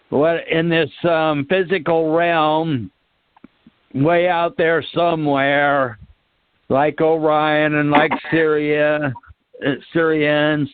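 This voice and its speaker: Very slow, smooth-voiced man on phone. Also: fairly high pitch, dry recording. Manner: hesitant, drawn-out, trailing phrases. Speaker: call-in participant. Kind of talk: musing, casual discussion. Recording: dry, tinny phone line.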